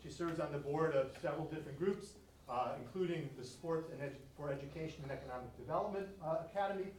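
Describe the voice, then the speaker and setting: A man's voice: a little high, a little mellow. A man hosting an event, reading out a guest speaker's credentials in an admiring introduction. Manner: admiring, reads a list of credentials. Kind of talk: prepared introduction speech. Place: lecture room. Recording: roomy echo, distant mic, faint room noise.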